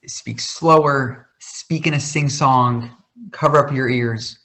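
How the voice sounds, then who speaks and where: A man's voice: mid-range voice, fairly even intonation, slow pace, fairly bright tone, low-quality recording. A man in his thirties who stutters, speaking passionately and dramatically about his life in a question-and-answer talk. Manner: passionate, with a stutter and repeated words. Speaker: guest speaker. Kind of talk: personal talk in an online Q&A.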